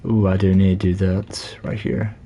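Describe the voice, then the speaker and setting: A man live-streaming a Minecraft session, chatting casually in a scratchy, slightly hoarse voice while he plays. He rambles, corrects himself and leans on fillers. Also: low voice, flat delivery, normal speed, mellow. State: hoarse from talking a lot.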